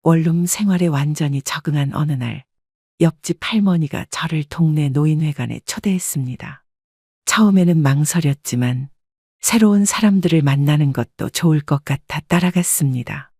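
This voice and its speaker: A woman calmly narrating a first-person life story. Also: low voice, moderate pace, slightly rough, no echo, clean studio sound.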